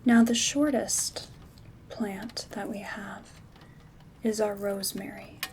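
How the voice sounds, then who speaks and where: Slow, hushed woman as a gentle witch. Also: mid-range voice, fairly even intonation. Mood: calm, caring. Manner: soothing, unhurried, intimate. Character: gentle, caring female witch herbalist. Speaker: ASMR performer. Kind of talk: ASMR roleplay, soft-spoken and whispered. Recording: soft rain and handling noise. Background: rain sounds, craft rustling.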